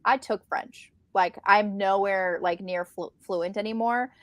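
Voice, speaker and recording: An American woman with an average-pitched voice and a close, dry sound co-hosts a book chat. She explains things conversationally, slipping into quoted asides as she goes. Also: fairly even intonation, measured pace, fairly bright tone, dry recording. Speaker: book readalong co-host.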